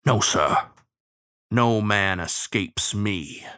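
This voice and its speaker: A man voicing a grim, relentless bloodhound lawman in a storybook, with a sepulchral baying voice and a slow folksy drawl. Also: lowish voice, pushed and bright, heavily acted. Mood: cold, menacing.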